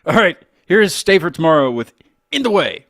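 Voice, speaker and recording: A man's voice: a little high, big pitch swings, brisk, a bit bright. A man hosting a casual music interview, chatting in a playful, joking way. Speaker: show host.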